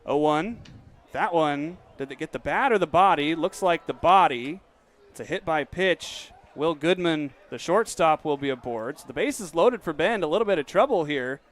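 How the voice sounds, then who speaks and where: A young adult man in a stadium broadcast booth, calling baseball play-by-play on radio and asking interview questions between pitches. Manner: brisk game calling between relaxed questions.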